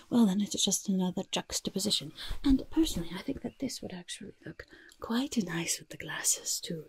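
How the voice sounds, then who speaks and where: A woman voicing a fussy, kindly goblin disguise master in a whispery, breathy, coaxing ASMR roleplay, close to the microphone. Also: fairly low pitch, poor audio. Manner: coaxing, gentle, hesitant asides, repeated words. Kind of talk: soft-spoken ASMR roleplay.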